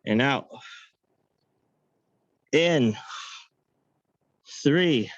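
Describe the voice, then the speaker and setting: A young man coaching a workout class, counting reps and giving upbeat cues with joking asides in a high-pitched voice. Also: lively intonation, very slow, dry recording, dull audio. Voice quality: self-described high-pitched voice. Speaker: fitness coach. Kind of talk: guiding an online workout class.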